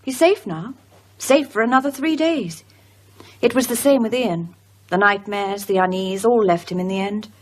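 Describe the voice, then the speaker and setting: An actress playing a sombre, guarded woman in a radio drama, husky, subdued and precise. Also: medium pitch, normal speed, natural voice.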